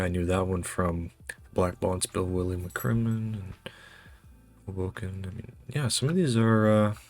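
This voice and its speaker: A North American man with a fairly low voice hosts a casual quiz playthrough. He thinks out loud, often hesitating and muttering to himself. Light music plays behind him. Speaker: host playing a geography quiz. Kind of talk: casual game commentary, thinking aloud.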